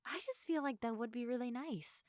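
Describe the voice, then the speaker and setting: A young woman playing a sleepy, affectionate girlfriend in an intimate sleep-aid roleplay, speaking softly and tenderly to the listener in a giggly, hesitant way. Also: on the high side, brisk, dry recording, clean studio sound, close to an everyday voice. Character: sleepy, affectionate girlfriend, gentle, playful and sincere. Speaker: audio roleplay performer.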